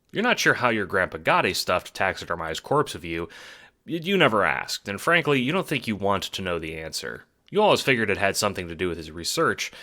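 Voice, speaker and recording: A man with a low, bright, punchy voice narrates a scripted audio drama. He speaks to the listener as "you", wry and sardonic, with a theatrical touch.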